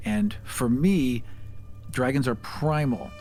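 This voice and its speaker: A man's voice: medium pitch, expressive, slow pace, darkish tone, soft background music. A man hosting a casual podcast chat about tabletop games, talking in an earnest, emphatic way.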